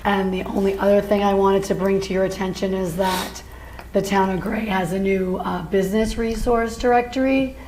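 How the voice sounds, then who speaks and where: A woman's voice: mid-range voice, steady tone, normal speed, darkish tone, low-quality recording. A woman serving as interim town manager gives brief announcements at a council meeting. Her mid-range voice is worn and fading as she loses it, and faint room noise sits underneath. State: losing her voice.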